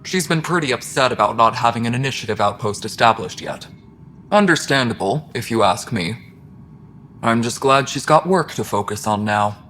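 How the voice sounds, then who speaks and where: An actor playing a thoughtful, fair-minded alien engineer in a video game, speaking in an even-tempered, reflective way. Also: male voice, medium pitch, moderate pace, natural voice. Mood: dry, understanding. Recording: faint station background noise.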